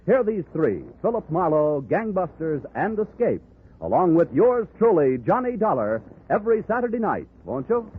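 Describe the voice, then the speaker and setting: A man's voice: high voice, big pitch swings, moderate pace. An American man reading scripted promotion in the style of a 1940s radio announcer. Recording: narrow-band old radio recording, faint noise.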